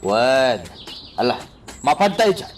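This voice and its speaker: A man's voice: medium pitch, sing-song, takes it slowly, slight background noise. An actor playing a sly, coaxing man who leads another along in a radio drama, with short prodding phrases. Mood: persuasive.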